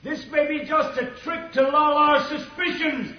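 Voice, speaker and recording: A fiery Venusian delegate in an old radio serial. The voice is very high, slow and declamatory. It rings from far off in a cavernous hall. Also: male voice, fairly even intonation. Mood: suspicious. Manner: declamatory, emphatic. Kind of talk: vintage radio serial acting. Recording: dull old radio, distant, cavernous echo.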